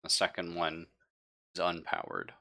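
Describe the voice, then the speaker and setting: Deep voiced guy as game master, kinda halting and chatty, bright crisp edge to it. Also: big pitch swings. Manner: halting, conversational delivery. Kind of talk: narrating a tabletop roleplaying game live.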